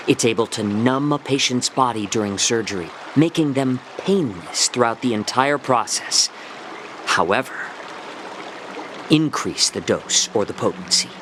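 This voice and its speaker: An actor playing a genial, sly male healer in a video game, with a polite, wordy, gently teasing manner. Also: mid-range voice, background noise, lightly acted. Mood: sly, measured. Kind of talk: game cutscene character acting.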